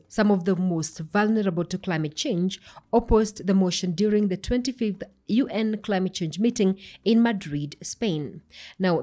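A woman speaks as a podcast host and interviewer. Her voice sits at a medium pitch, and she talks in an organised, presenter-like way, setting out points and putting questions to a guest. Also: darkish tone. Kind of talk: scripted podcast introduction and interview.

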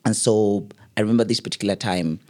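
A middle-aged man, an event MC telling a story as an interview guest, lively and acting out the voices he quotes. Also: lowish voice, fast talker. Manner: lively, acts out quoted voices, laughs often.

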